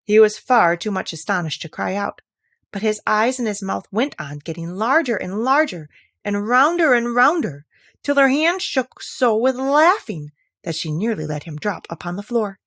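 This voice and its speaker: Lady reading a kids story out loud, expressive, normal pitch, really dry recording. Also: normal speed, no echo. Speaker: audiobook reader.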